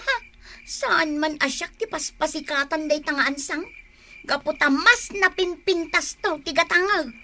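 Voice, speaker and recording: A woman's voice: high-pitched, unhurried, bright, forceful tone, slight background noise, exaggerated voice. A woman acting an envious, competitive, gossipy neighbour in a comic radio drama, with an Ilocano Filipino accent. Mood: scornful, competitive.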